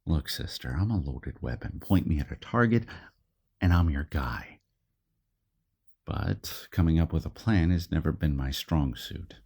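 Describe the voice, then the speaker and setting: A man playing a gruff, self-deprecating hired gun in an audio drama, talking in a blunt, colloquial way. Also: extremely low pitch, animated, a little slow, darkish tone, exaggerated voice.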